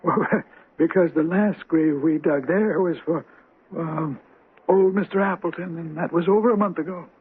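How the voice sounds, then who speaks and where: A man's voice: a little high, moderate pace, husky. A man playing a plain-spoken, deferential cemetery manager in an old-time radio drama, folksy and hesitant. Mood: doubtful, respectful. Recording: tinny band-limited radio drama recording.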